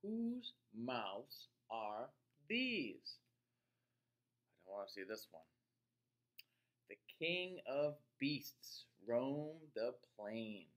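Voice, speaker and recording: A middle-aged man reading a picture book aloud to young children, expressive and deliberate, pausing to put questions to his listeners. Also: medium pitch.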